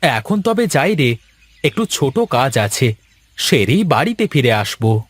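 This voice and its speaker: A man voicing a well-off village husband, fretful yet caring, in a cartoon fairy tale with drawn-out, expressive delivery. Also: mid-range voice, breathy edge, no echo. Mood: resigned.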